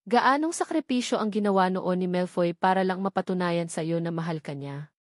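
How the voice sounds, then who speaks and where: A woman's voice: on the low side, normal speed, a little mellow, dry recording, lightly acted. Woman reader as caring mother, earnest and admonishing, audiobook drama, dry sound. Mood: gently admonishing. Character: caring, gently admonishing older mother of a grown daughter. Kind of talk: dramatised novel reading.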